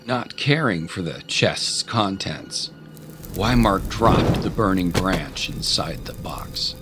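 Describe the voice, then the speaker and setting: A man's voice: low voice, animated, slow. A man narrating a dramatic scripted story as an audio drama's storyteller. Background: loud music.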